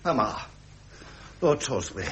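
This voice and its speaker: An actor playing a wry middle-aged prince with an upper-class British accent, in period radio drama style. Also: male voice, mid-range voice, sing-song, moderate pace, background noise, lightly acted. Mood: aggrieved. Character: frustrated, genial middle-aged prince and uncle. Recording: muffled vintage radio recording.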